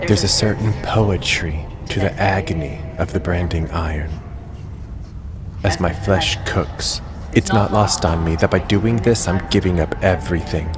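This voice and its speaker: An actor plays a bitter young nobleman with a deep, low voice, telling his memory in grim first-person narration. A musical score plays under his voice in this audio drama. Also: a little slow, music in the background. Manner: grim, seething inner narration. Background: orchestral score under the voice.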